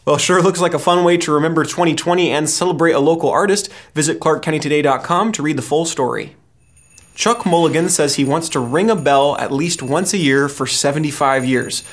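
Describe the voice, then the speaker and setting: Male local news anchor, slightly high voice, scripted delivery. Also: brisk.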